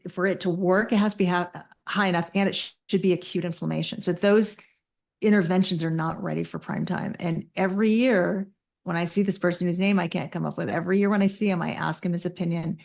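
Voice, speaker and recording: A woman's voice: on the low side, fairly even intonation, fast talker, vocal fry, darkish tone. A woman speaking as an expert interview guest, conversational but precise and technical, often correcting and qualifying what she says. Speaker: interview guest, mental health clinician.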